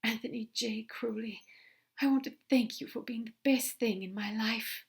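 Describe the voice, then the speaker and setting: A tender, fussy male angel with a lifted, gentle voice, as a woman reader puts him on. He speaks softly and earnestly, often dropping to a hushed whisper. A storybook figure full of quiet affection. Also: fairly high pitch, normal speed, vocal fry, voice pushed up.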